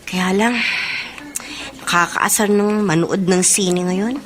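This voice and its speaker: An actress playing a bored, sulky young university student in a radio drama monologue. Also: female voice, medium pitch, slow, natural voice. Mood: annoyed, bored. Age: young adult. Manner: sulky, complaining.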